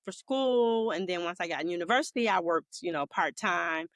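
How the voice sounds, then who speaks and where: A woman's voice: fairly low pitch, animated, normal speed, no echo, studio quality. A woman in her fifties, a podcast guest, speaking thoughtfully and earnestly about growing up. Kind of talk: casual podcast chat.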